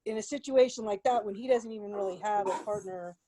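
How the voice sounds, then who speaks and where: A woman's voice: mid-range voice, fast talker, mellow. A woman speaking candidly and informally as a participant asking questions on a conference call. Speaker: volunteer tennis partner asking questions on a call. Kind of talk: casual conference-call discussion.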